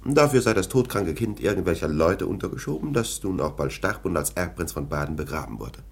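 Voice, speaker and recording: An actor playing a scornful, domineering police lieutenant in a German radio play, with a sardonic, dismissive manner. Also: male voice, deep voice, expressive, brisk, gravelly, husky, heavily acted. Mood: confidential, sardonic.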